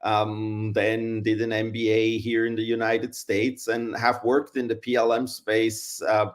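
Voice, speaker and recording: A middle-aged man from Switzerland, answering interview questions in a measured, hesitant way with many fillers. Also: lowish voice, monotone. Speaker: guest, engineering consultant.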